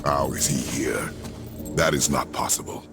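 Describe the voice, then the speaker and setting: Very deep, gravelly, grim warrior god. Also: male voice, unhurried, over loud music, heavily acted. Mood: grave, disbelieving. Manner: terse, clipped short sentences. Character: grim middle-aged warrior god, stern, brooding father. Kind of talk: dramatic video game acting.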